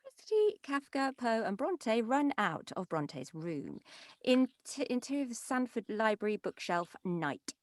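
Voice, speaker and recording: Animated woman reading stage directions. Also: on the high side, unhurried, dry recording, clean studio sound. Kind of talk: scripted narration at a table read.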